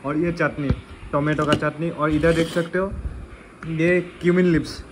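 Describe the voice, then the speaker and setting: A young man talking to his phone camera in a casual vlog at someone's home, chatty and a little hoarse from a cold. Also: fairly high pitch, fairly even intonation, moderate pace, slight background noise. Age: young adult. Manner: chatty, many fillers. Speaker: travel vlogger. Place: indoors at a home. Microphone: phone camera held at arm's length. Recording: music playing over the talk.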